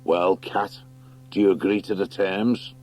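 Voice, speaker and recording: Grave badger warlord for audiobook fantasy, played by a man: low voice, noble, measured, used to command. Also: little pitch movement, darkish tone, slight background noise. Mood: formal, resolute. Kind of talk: audiobook character acting.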